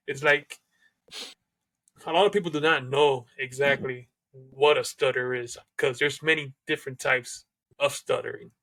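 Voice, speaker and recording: A man's voice: medium pitch, fairly even intonation, moderate pace, a bit bright, rough recording. A man hosting an interview who stutters, talking casually with many fillers. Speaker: host. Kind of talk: conversational interview.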